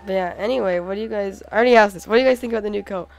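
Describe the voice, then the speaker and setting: A young woman with a hoarse, cold-worn voice, chatting casually and excitedly over her gameplay as a channel host. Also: mid-range voice, very fast, soft background music. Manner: excitable, many "oh my god"s and "like"s.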